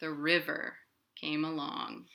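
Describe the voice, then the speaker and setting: American lady doing kids storytime, bright voice, slow pace, expressive, talks right to the little ones. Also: deep voice, gravelly. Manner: expressive, addressing children directly with questions. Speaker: children's librarian and storytime host. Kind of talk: animated picture-book read-aloud and chat for young children. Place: indoors at her home.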